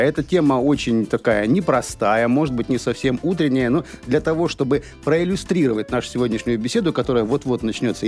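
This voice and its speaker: A man hosting a morning radio show, hoarse from a cold, chatting and joking in a studio. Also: medium pitch, a little mellow. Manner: jokey, chatty. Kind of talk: casual radio banter.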